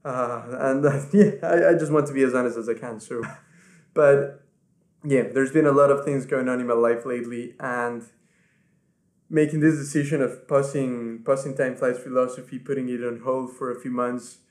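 A man in his twenties from Ecuador, a native Spanish speaker, hosting his podcast and talking earnestly without a script, softly and with many restarts. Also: medium pitch, fairly even intonation, normal speed. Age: 20s. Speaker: podcast host. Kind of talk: unscripted personal talk to camera. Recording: echoey room.

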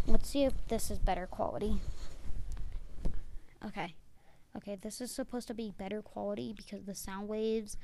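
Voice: mid-range voice, gravelly, dark tone, a little hiss or hum. A youngster who sounds like a child or young teen, rambling casually and a bit hesitantly as host of an amateur solo podcast. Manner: hesitant, self-deprecating. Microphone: amateur mic, trying blanket as sound booth.

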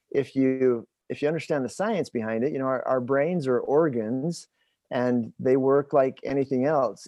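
A man in his forties in a conversational interview, explaining things in a halting, thinking-aloud way. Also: medium pitch, dark tone, dry recording. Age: 40s. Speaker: host interviewing his father.

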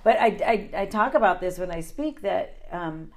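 Raspy-voiced woman hosting an interview. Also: fairly low pitch, animated, normal speed, a little mellow. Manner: encouraging, affirming interviewer. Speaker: host. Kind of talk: casual interview talk.

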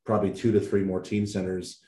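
A man with a deep, warm voice speaks earnestly and steadily as an interview guest. His voice carries a roomy echo. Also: level tone, brisk. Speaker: teen center staff guest. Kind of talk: interview answers.

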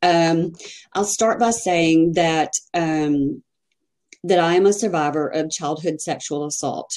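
A woman with a Texan accent speaks slowly and hesitantly, pausing often as a podcast guest. Her voice sits a little low and sounds mellow and warm. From Texas. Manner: soft-spoken, hesitant, many pauses and fillers. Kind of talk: personal testimony in a podcast interview.

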